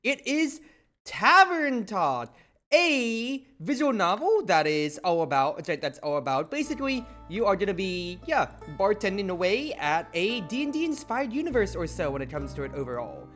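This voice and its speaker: A woman's voice: mid-range voice, big pitch swings, moderate pace, fairly bright tone. A woman hosting a casual game stream, talking in a chatty, rambling, playfully theatrical way. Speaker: streamer and host. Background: game music.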